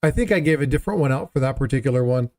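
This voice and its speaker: A man's voice: mid-range voice, animated, racing, a little mellow. A man hosting a casual livestream chat, rambling and self-deprecating as he talks. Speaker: livestream host.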